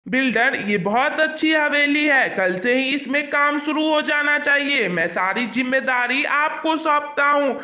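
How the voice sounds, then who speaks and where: A man's voice: very high voice, unhurried, few pauses, sharp tone, full character voice. A man voicing an ambitious, impatient doctor in a cartoon story, insistent and declamatory. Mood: decisive. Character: ambitious, impatient male doctor, dismissive of ghosts. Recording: roomy echo.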